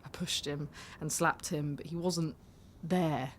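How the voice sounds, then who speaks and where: An actress playing a candid, vulnerable young woman taxi passenger in an audio drama, confiding in a halting, self-deprecating way. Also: sits low, fairly even intonation, a little hiss or hum. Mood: pained, intense.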